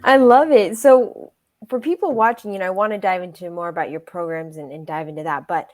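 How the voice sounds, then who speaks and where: A woman's voice: on the low side, no room sound. Eager woman host, dry sound. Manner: eager, quick affirmations. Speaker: interview host. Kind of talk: casual interview.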